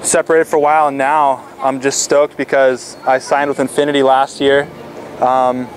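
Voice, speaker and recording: A teenage man answering interview questions in a relaxed, casual way, with frequent fillers and restarts. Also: medium pitch, moderate pace, slight background noise, poor audio. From Florida. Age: teens. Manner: laid-back, lots of fillers and restarts. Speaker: stand-up paddle athlete.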